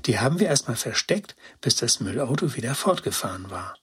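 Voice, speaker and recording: Man acting as young female storybook dog, very husky and breathy, lively pitch swings, childlike, earnest, compassionate. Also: fairly quick, heavily acted. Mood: earnest, excited. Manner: childlike, excited storytelling with naive colloquial words.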